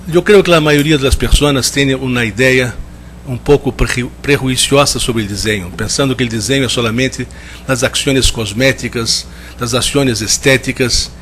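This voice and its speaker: A man from Brazil speaking with a strong Brazilian Portuguese accent, giving a measured, reflective conference talk and often restarting as he searches for words. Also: mid-range voice, a bit bright, slight background noise. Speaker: guest lecturer. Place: lecture hall.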